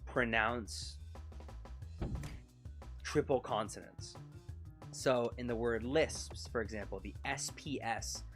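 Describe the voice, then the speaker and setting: A man with a Canadian accent rambles through a live game stream in a mid-range voice, talking quickly. He speaks close to a desk mic while leaning back in his chair. Faint game music plays beneath him. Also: mid-range voice. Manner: rambling, quick-talking, self-conscious about his pronunciation. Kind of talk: casual live-stream chat over gameplay. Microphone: desk mic set close to his mouth.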